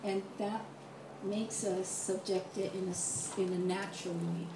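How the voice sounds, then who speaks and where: An older woman from Hawaii speaking reflectively at an audience mic, in halting, deliberate and solemn phrases. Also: medium pitch, fairly even intonation. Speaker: audience member asking a question. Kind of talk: reflective personal speech at an open mic. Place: large echoey room, event audience mic. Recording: echoey, distant mic, noisy room.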